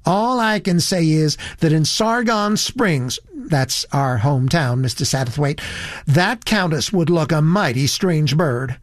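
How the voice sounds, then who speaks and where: A man voicing a blunt, earnest young woman with a high, shrill voice in an audiobook story. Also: measured pace, no echo, natural voice. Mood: displeased. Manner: blunt, indignant. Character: earnest, disapproving, very young American tourist woman.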